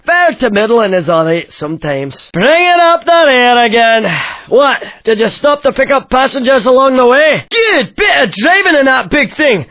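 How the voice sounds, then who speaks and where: High-pitched, excitable Scottish driving guide. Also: male voice, normal speed, dry recording, full character voice. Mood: teasing, judging. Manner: teasing, exclamatory. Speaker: game announcer coaching racing drivers. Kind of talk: animated racing game commentary. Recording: dry, slightly dull lo-fi game audio.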